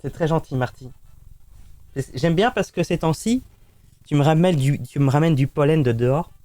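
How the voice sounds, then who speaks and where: A man's voice: on the high side, moderate pace, mellow, dry recording. A man streaming live, chatting casually and rambling teasingly to his cat.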